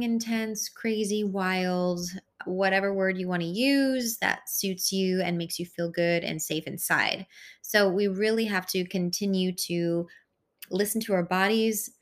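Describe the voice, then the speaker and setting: A woman whose first language is Hungarian, hosting an unscripted spiritual podcast and rambling in a self-aware way with frequent fillers. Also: medium pitch. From born in Italy, raised in the United States. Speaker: podcast host. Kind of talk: unscripted solo podcast monologue.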